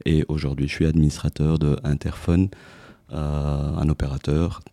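A man in his forties from Tunisia, a business owner talking about his career as a podcast guest, halting often with fillers and restarts. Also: a bass voice, little pitch movement, mellow, dry recording. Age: 40s. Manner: hesitant, many fillers and restarts. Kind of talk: casual interview.

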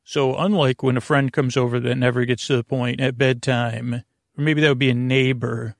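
Creaky-voiced man rambling gently. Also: mid-range voice, fairly even intonation, moderate pace, no echo, studio quality. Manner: meandering, hesitant, goofy, circles back on himself. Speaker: podcast host. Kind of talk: rambling bedtime sleep podcast monologue.

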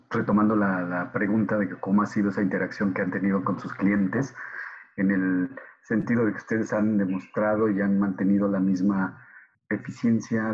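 Male co-host, fairly low pitch, polite halting questions, muffled recording. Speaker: interview co-host. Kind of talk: interview questioning. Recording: dull, muffled audio.

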